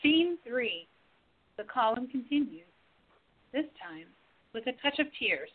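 A woman narrating scene directions in a plain, measured reading for an amateur radio play. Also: a little high, big pitch swings. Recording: tinny phone-like audio, faint noise.

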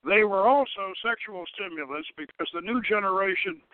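Guy reading a satirical story out loud, kinda high voice, halting, thin phone sound. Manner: halting, stumbles over the text. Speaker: host reading own novel aloud. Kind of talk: dramatic reading of fiction. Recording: thin, dry, phone-like radio line.

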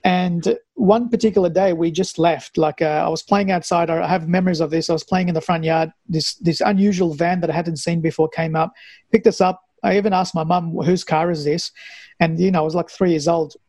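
A man's voice: a little high, steady tone, a little fast, a little mellow, no room sound. A man, an interview guest reflectively telling his own story in a conversational way. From Australia, born in Afghanistan. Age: 40s. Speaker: interview guest, health practitioner and mentor.

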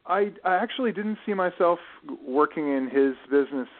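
A middle-aged man answering as an interview guest, reflective and measured, choosing precise words with pauses. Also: a little high, animated. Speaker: interview guest, writer and publishing professional. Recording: narrow, muffled phone-like audio.

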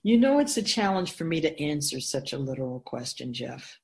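Radio drama: ageless female keeper of souls an actress, wise and instructive, deliberate pauses, lower register with animated melody. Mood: reverent, solemn. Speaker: teacher figure in a radio drama. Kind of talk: scripted radio drama, explanatory dialogue.

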